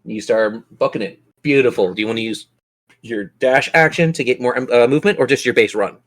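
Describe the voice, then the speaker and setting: A man's voice: medium pitch, a little fast. A man narrating a tabletop role-playing game as game master, with theatrical, descriptive storytelling.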